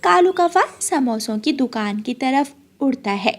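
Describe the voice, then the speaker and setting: A woman with a very high voice narrates a children's story. She reads the script at a slow pace, as a storyteller. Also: lively intonation.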